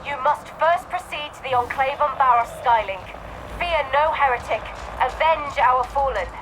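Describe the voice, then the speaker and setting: A woman's voice: high-pitched, moderate pace, close to an everyday voice. A woman playing a stern, devout military guide in a video game, speaking in a grandiose, formal, commanding way. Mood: solemn. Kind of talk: video game mission briefing. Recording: loud battle noise behind.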